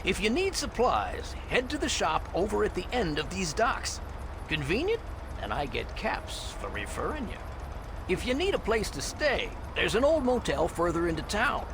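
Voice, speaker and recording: Lively, creaky-voiced roguish ferry captain. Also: male voice, sits high, moderate pace, background noise, heavily acted. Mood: sly, jovial. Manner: folksy, sly, theatrical sales patter. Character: roguish, salty old ferryboat captain, folksy salesman. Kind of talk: video game character dialogue.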